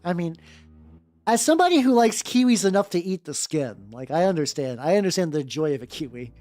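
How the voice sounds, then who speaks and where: A woman's voice: on the low side, animated, brisk, dark tone. A woman streamer with a playful, joking manner, chatting casually and reading fanfiction aloud for comic effect. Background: background music.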